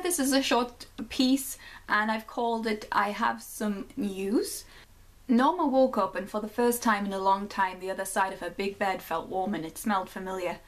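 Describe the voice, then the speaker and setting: Chatty Geordie woman vlogging. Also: medium pitch. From North East England. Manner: chatty, laughs at herself, says um and like. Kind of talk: casual chat and reading her own story aloud.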